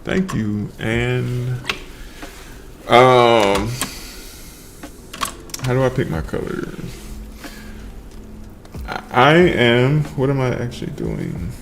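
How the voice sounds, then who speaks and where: A man casually chatting on a livestream while he paints, relaxed and slurring his words together, trailing off mid-sentence. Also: medium pitch, very slow, creaky, pauses often, a little hiss or hum. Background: faint lo-fi background music.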